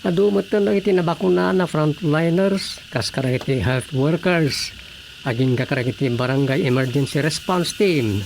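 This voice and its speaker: A man's voice: fairly high pitch, normal speed, gravelly, a little hiss or hum. A man with a Filipino accent playing a sensible village man who reasons patiently, in radio drama style. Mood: persuading.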